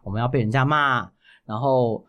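A man with a Taiwanese Mandarin accent, explaining things as a guest in a casual interview, often halting and correcting himself. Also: mid-range voice, a bit bright. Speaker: guest voice actor.

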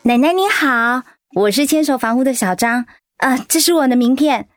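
A woman's voice: high voice, expressive, normal speed. An actress playing a young female real-estate agent in a radio play, polite and ingratiating.

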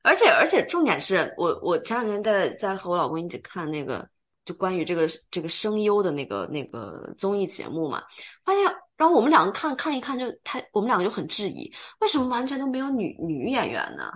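A woman's voice: medium pitch, quick pace. A woman with a youthful, sweet voice, chatting animatedly and casually with friends as a podcast host. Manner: animated, talkative, teasing banter. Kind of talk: casual chat among friends.

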